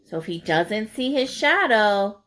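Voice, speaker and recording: A woman's voice: medium pitch, expressive, a little slow, a bit bright. A woman reading a picture book aloud like a teacher, in a sing-song, explaining way for young listeners.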